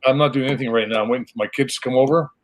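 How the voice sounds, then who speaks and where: A man's voice: mid-range voice, steady tone, rapid-fire. A man, a guest in a casual chat, talking in an easygoing way over a video call.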